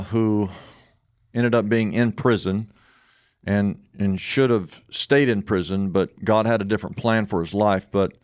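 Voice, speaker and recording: A male interview host with a fairly low, clear voice in a dry-sounding recording. He speaks conversationally and earnestly, repeating phrases to drive a point home. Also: no room sound.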